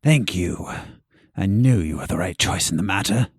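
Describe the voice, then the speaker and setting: Duplicitous doctor, audio drama: very low voice, wry and formal, lively swings in pitch. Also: male voice, normal speed, slightly rough, dry recording, clean studio sound, full character voice. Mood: smooth scheming. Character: scheming, duplicitous doctor, adult man, bargaining smoothly.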